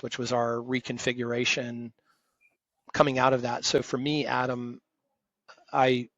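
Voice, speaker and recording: Gravelly, husky man in his fifties, flat delivery, dull dry lo-fi recording. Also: medium pitch, no echo. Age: 50s. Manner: emphatic, direct, self-described loud voice. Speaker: podcast guest, retired firm partner. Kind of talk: conversational interview.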